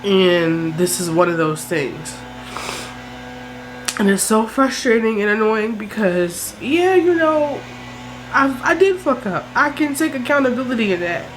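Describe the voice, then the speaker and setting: A woman's voice: on the high side, animated, a little slow, slight background noise, rough recording. A woman in her twenties from South Jersey with a South Jersey accent, tearful and tired, venting frankly and with plenty of swearing about her struggles in a vlog. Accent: American, South Jersey. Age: 20s. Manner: frank, profane, weary. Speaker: vlogger. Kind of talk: emotional vent to camera.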